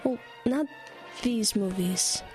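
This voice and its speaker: A woman's voice: a little high, slow pace, a little mellow, faint music behind. An actress voicing an eager young boy who loves horror films and sounds whiny and earnest. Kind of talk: audio drama acting.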